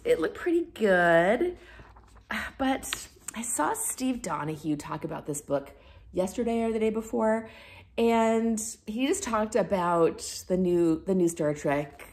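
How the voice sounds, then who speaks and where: A woman's voice: mid-range voice, darkish tone. A woman talking casually and candidly to her viewers as a book vlogger, leaning on key words for emphasis. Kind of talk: casual chatty monologue to camera about reading plans.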